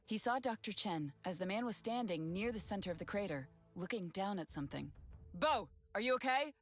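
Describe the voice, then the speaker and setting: A woman giving scripted story narration in a steady, even reading voice. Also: mid-range voice, fairly quick, faint music behind.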